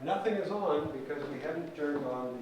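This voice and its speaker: A man giving an informal hands-on technical tutorial as an instructor, speaking deliberately and methodically with pauses mid-sentence. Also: on the high side. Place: indoor set room by a theater stage. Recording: roomy echo with faint background noise.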